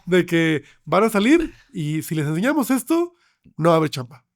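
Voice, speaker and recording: A slightly hoarse man with a Mexican accent explaining things conversationally as a podcast guest, often ending on tag questions. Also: high voice, big pitch swings, moderate pace. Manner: checks in with frequent tag questions. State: slightly hoarse from getting over a cold. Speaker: podcast guest, film researcher.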